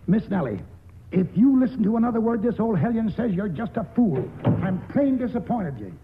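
An actor playing a cantankerous but kind-hearted old country grandfather in a 1940s radio play, gruff and rough-spoken. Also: high voice, sing-song, brisk, heavily acted. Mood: indignant. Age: older. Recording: tinny, noisy old radio broadcast.

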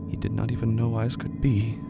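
A man narrating scripted horror fiction with deliberate, ominous, repetitive phrasing over music. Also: fairly low pitch, level tone, vocal fry. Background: prominent music.

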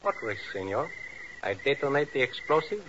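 Proud, stubborn Latin man, bright emphatic voice, radio thriller. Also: medium pitch, very animated, normal speed. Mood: defiant. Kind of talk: 1950s radio drama acting. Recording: muffled old radio recording, faint noise.

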